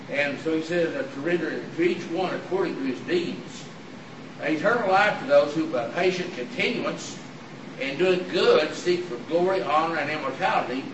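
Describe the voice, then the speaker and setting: A visiting preacher, his voice hoarse and raspy from throat trouble, delivering an earnest sermon in a halting way with frequent "uh" fillers. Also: on the high side. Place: church auditorium. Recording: echoey hall from far off with room noise.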